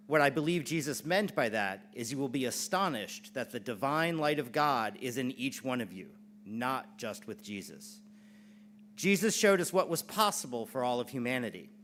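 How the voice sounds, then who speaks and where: A man's voice: mid-range voice, a bit bright. A man playing Thomas, an earnest, devout disciple of Jesus, speaking in a declamatory, preacherly way in a church dramatic reading. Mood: reverent. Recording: clear background noise and hum.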